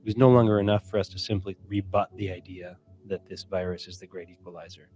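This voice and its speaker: A man's voice: sits low, steady tone, fast, mellow. A man presenting a magazine feature in a documentary narration style, as an editor explaining a story. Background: music bed.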